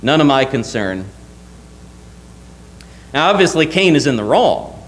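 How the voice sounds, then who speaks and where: A man's voice: medium pitch, big pitch swings, a little slow, slight background noise, rough recording. A young man preaching a sermon from a church pulpit, earnest and emphatic, often asking rhetorical questions. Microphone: lectern mic, wide camera.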